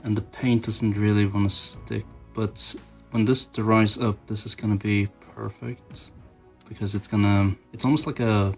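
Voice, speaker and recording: A man's voice: lowish voice, flat delivery, a little slow, faint music behind. A man giving informal, self-deprecating hobby commentary, thinking aloud as he works through a craft project. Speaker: toy customizer, channel host. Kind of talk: casual rambling hobby commentary while painting.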